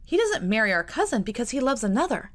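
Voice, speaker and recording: A woman doing an earnest young girl, high and eager. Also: sing-song, fast. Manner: earnest, pleading.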